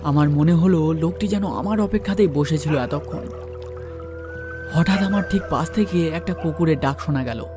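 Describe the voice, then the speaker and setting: A man's voice: fairly high pitch, moderate pace, music in the background. A man narrating a first-person horror story and acting out its dialogue.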